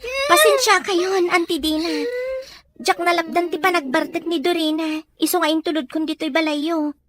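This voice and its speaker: A woman's voice: very high-pitched, normal speed. A woman acting an apologetic, worried young female friend in an Ilocano radio drama.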